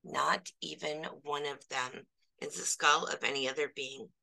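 A woman voicing a calm, wise male spiritual teacher who speaks gently and patiently. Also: low-pitched, measured pace, gravelly, full character voice, poor audio. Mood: reassuring.

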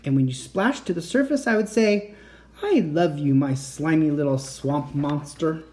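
A man doing a soft, doting mother. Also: a little high, unhurried, close to an everyday voice. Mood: tender. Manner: soothing, tender reassurance.

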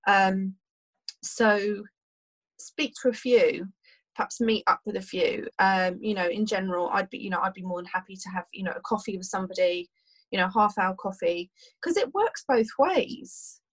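Doula, voice going, rambling friendly interview answers, mid-pitch. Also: female voice, moderate pace, low-quality recording. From United Kingdom. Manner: rambling, many fillers and restarts. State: losing her voice. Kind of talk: casual podcast interview.

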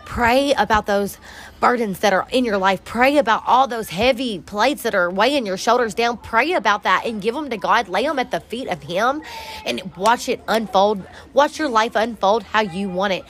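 A woman's voice: mid-range voice, a bit bright, slight background noise. A woman co-hosting a faith-based podcast, speaking in a preachy, rallying way, recorded outdoors on a back deck. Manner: preachy, rallying, says "Amen". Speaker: podcast co-host. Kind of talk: faith-based encouragement talk.

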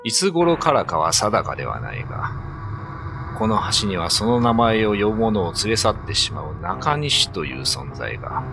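A man's voice: on the low side, huge pitch swings, moderate pace, gravelly, exaggerated voice. A man acting as a grave narrator and commanding village leader in a ghost story radio drama. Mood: grave, ominous. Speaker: narrator and actor. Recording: voice over loud background music.

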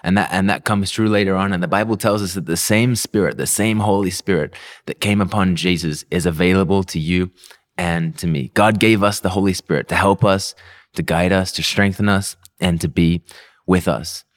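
A man's voice: deep voice, fairly even intonation, moderate pace. A young man with an Australian accent giving an earnest devotional sermon, telling a personal story. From Melbourne, Australia. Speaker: pastor.

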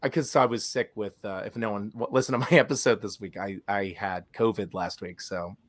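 A man co-hosting a podcast, still raspy from illness, chatting animatedly with many restarts. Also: on the low side, steady tone, a little fast. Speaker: podcast co-host. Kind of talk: casual chat.

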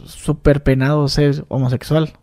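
A man's voice: on the high side, flat delivery, measured pace, mellow, no room sound, clean studio sound. A man with a Mexican accent interviewing a guest, colloquial and joking, acting out little quoted exchanges. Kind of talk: casual interview conversation.

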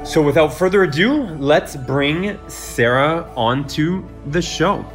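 A man's voice: a little high, slow, music in the background. A man hosting a podcast who reads an upbeat scripted introduction of his guest and then asks questions. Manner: upbeat, read-aloud introduction. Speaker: podcast host.

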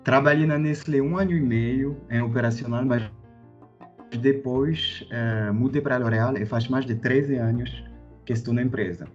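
A man's voice: mid-range voice, moderate pace, muffled recording, soft background music. A man in his thirties from France with a French accent, a guest on a podcast telling his career story hesitantly, with many fillers and restarts. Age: 30s. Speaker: guest, corporate director.

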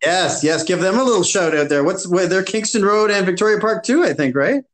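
A man co-hosting a casual podcast chat, talking in halting restarts and laughing easily. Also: high voice, expressive. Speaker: podcast co-host.

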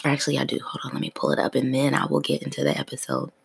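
A woman in her thirties hosting her own podcast in a relaxed, free-flowing chat, her voice still sleepy in the morning. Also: a bass voice, very fast, creaky, husky. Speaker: podcast host. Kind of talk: casual solo podcast chat.

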